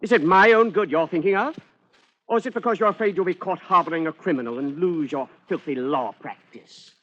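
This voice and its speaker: A man's voice: on the high side, fairly quick, fairly bright tone. An actor playing an arrogant, flippant British man-about-town who is a killer in hiding, in 1940s radio drama style. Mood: defiant, threatening. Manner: haughty, flippant, old-boy phrasing. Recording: dull lo-fi old radio recording.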